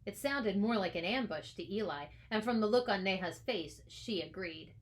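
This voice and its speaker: Lady author narrating a kids' story, mid-pitched voice, expressive read-aloud. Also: moderate pace. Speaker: author reading their own book aloud.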